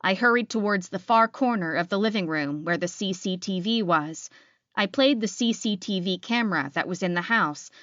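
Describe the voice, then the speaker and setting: A woman narrates first-person fiction in a scripted style. Her pitch sits in the middle, and the recording is very dry with slightly muffled highs. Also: a bit bright, no echo. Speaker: audiobook narrator.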